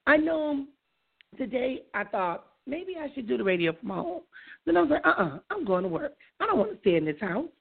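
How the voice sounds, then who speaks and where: A woman with a hoarse voice hosting a call-in radio talk show, musing aloud about patience and inviting callers to phone in. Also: sits high, very animated, moderate pace. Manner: reflective, conversational, invites callers. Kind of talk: solo talk radio monologue. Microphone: iPad. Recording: phone-like lo-fi line.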